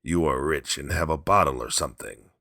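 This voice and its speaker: A man playing a gruff, defiant old drunkard. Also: a bass voice, fairly quick, sharp tone, no echo, studio quality, full character voice. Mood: pushy.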